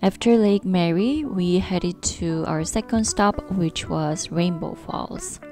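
Woman, travel vlog voice-over, casual narration, lowish pitch, noticeable vocal fry, faint music behind. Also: warm tone. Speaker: travel vlogger narrating a trip.

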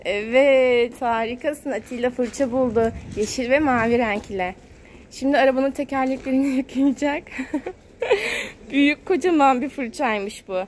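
Give the voice of a woman with a high pitch, talking casually to a small child as she films outdoors. She is encouraging and repeats words in a sing-song way. Faint car wash noise sits behind her, picked up by a handheld phone. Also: normal speed, a little mellow. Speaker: mother filming a family vlog. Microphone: handheld phone camera, speaker behind it.

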